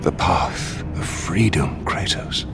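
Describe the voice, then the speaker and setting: Solemn mysterious mentor, earnest, cryptic, measured, video game drama, wide-swinging intonation, loud music behind. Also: male voice, low voice, animated, gravelly, exaggerated voice. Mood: grave, hushed. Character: solemn, mysterious male guide counselling a troubled warrior.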